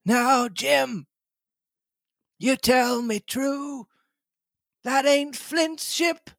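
A woman reading aloud and voicing a half-crazed old male castaway pirate, drawling in old sailor's dialect and shouting. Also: high voice, very slow, gravelly, dry recording, studio quality, voice pushed up, heavily acted. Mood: wary. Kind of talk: storybook read-aloud.

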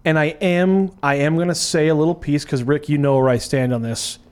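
A man's voice: a little high, fairly even intonation, darkish tone, clear, resonant tone. A man hosting a radio show, joking wryly and plugging his own program in casual studio chat. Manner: joking, wry, quick asides.

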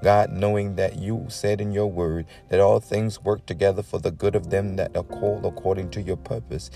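A man with a deep, dark-toned voice leads a devotional prayer as a preacher. He is earnest and leans on repeated amens and invocations, with faint music playing behind him. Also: fairly even intonation, moderate pace, creaky. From the Bahamas. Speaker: preacher leading a morning prayer. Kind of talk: devotional talk and extemporaneous prayer.

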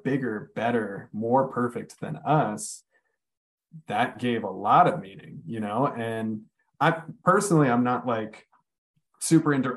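A man's voice: mid-range voice, rough recording. A man in a casual podcast chat, talking reflectively and searching for his words. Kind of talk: casual conversation.

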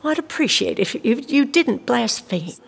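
A woman acting in a radio drama as a prim, pious, coolly menacing female investigator with a formal, measured, officious manner. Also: fairly high pitch, sing-song, gravelly, breathy edge, heavily acted. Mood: pious, stern. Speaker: actor in radio play. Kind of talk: naturalistic audio drama acting.

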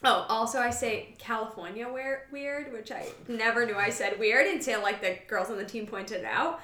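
A woman's voice: a little high, normal speed, bright, forceful tone. A young woman with a light Boston accent, chatting casually as a podcast guest and laughing often. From Boston area, US. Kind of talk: casual chat. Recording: roomy echo.

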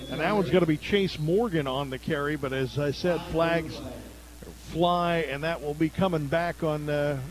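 A man works as a radio play-by-play announcer, calling a football game live. His voice sits high for a man as he follows the action down by down. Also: a little hiss or hum, poor audio.

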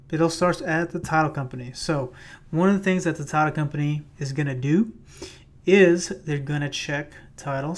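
A man hosting his own podcast in a loose, chatty way with many fillers, his voice a little raspy. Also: on the high side. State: slightly raspy voice. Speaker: podcast host and realtor. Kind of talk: casual solo podcast talk. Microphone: plugged-in microphone.

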